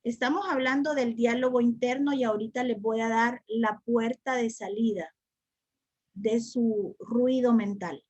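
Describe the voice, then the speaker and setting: A woman's voice: fairly high pitch, muffled recording. A woman teaching a spiritual study class, speaking reflectively and repeating phrases for emphasis. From El Salvador.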